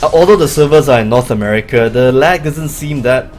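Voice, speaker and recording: A young man with a Malaysian accent, co-hosting a live gameplay stream in a relaxed, chuckling way. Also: mid-range voice, brisk, faint music behind. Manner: relaxed, chuckles often, hedges with "I mean". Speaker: co-host playing a game on a live stream.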